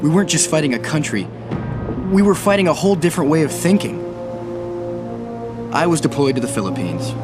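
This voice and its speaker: An actor playing a brash, hot-headed young American naval officer in a war game cutscene. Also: male voice, mid-range voice, natural voice. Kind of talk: game cutscene acting and first-person war narration. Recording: loud music behind.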